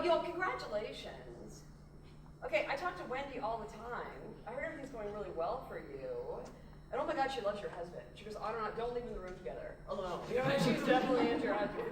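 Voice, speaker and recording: A woman performing a brash, gushing fashion-industry woman on stage, loud and laughing. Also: a little high. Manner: loud, gushy, laughing, self-absorbed. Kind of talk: live stage character comedy. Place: theater stage. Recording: echoey theater from far off, noisy.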